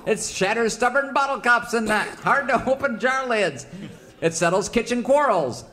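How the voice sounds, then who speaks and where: A man playing a brash, folksy pitchman in parody radio commercials, selling hard with exuberant, emphatic flourishes. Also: lively intonation, unhurried, pushed and bright, heavily acted. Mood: gleeful hard sell. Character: brash, folksy, fast-selling male huckster in comic radio ads. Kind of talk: parody old-time radio advertising.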